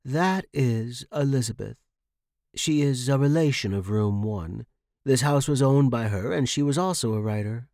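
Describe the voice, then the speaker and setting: A man voicing a stern, bossy landlady in audio fiction, giving brisk, clipped instructions. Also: mid-range voice, darkish tone, no room sound, clean studio sound, lightly acted. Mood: matter-of-fact. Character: stern, bossy woman landlady with strict house rules. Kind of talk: audio fiction character reading.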